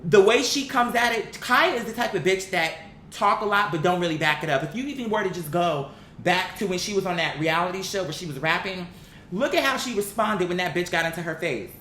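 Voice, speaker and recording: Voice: animated, a little fast, bright, forceful tone. A vlogger giving casual, opinionated commentary in a blunt, slangy and emphatic way. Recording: roomy echo, faint rumbling background noise.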